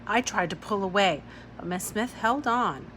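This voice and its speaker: A woman's voice: medium pitch, lively intonation, moderate pace, fairly bright tone. A woman reading a children's novel aloud as scripted narration. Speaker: audiobook-style reader. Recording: clear background noise.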